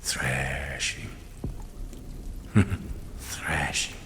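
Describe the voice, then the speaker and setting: An actor playing a sadistic, cultured Nazi officer, pompous and theatrical in a video game drama, over a noisy mix. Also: male voice, rumbling low, fairly even intonation, fairly bright tone, exaggerated voice. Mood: smug menace. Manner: pompous, menacing, slow and theatrical.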